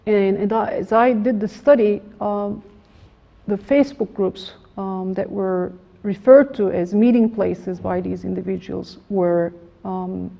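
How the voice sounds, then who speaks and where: Middle-aged woman academic lecturer, gravelly low-key tone, measured delivery, dull lo-fi room sound. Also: fairly even intonation, warm tone. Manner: measured, formal, frequent restarts and fillers. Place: lecture room with projector screen. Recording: slightly dull lo-fi room recording.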